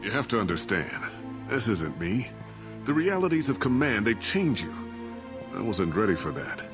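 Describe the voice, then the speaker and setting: A man's voice: on the low side, sing-song, normal speed. A middle-aged man playing a disgraced, evasive ship captain and father in a video game, talking defensively and justifying himself. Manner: defensive, rationalizing. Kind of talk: dramatic video game voice acting. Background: cinematic background music.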